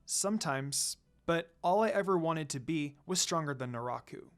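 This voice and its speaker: A storyteller voicing a gentle, earnest teenage girl in a fan fiction reading, soft but determined. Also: mid-range voice, animated, moderate pace, natural voice.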